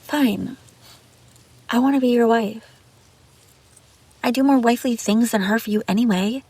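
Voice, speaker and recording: A woman's voice: a little high, normal speed, mellow, husky, no echo. A woman playing an obsessive, scheming young babysitter in an intimate audio roleplay, pleading and defensive. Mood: confessing, insistent. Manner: pleading, defensive, hesitant then insistent. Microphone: close, dry microphone.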